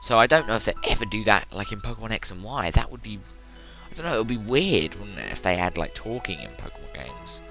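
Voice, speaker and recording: A man's voice: on the low side, sing-song, fast talker, vocal fry. A young man giving rambling, casual commentary while playing a video game. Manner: chatty, rambling, self-interrupting. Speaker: let's play gamer. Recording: game music loud behind the voice. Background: game music.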